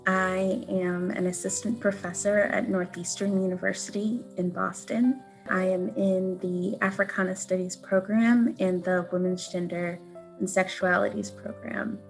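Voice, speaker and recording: A poised woman professor introduces herself with a gravelly edge to her voice. Soft music plays beneath. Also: mid-range voice, fairly even intonation, measured pace.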